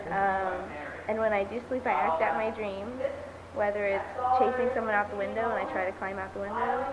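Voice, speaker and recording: A woman chats casually about herself. Her voice sits in a middle register. A loud crowd babbles behind her. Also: mid-range voice, poor audio. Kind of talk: casual chat about personal experience. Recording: loud crowd chatter around the voice.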